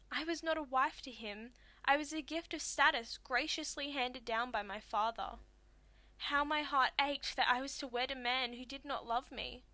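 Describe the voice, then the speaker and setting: A woman's voice: sits high, no room sound. A woman performing a theatrical monologue as a tragic young Greek princess, wronged and anguished, with impassioned, declamatory delivery. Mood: pleading, hurt. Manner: impassioned, declamatory, swinging from hushed to outcries.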